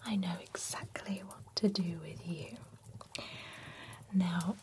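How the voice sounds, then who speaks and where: Chatty female vampire spa groomer , whispered by a woman, slow and low, polite salon patter. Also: a little mellow, a little hiss or hum. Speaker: ASMR roleplay performer. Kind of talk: whispered ASMR roleplay.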